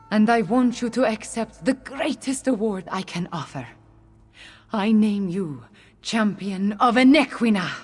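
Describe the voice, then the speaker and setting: An actress voicing a young catfolk queen in a video game, speaking in a measured, earnest and formal way, regal and grateful. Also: medium pitch. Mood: earnest, moved. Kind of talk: scripted video game voice acting.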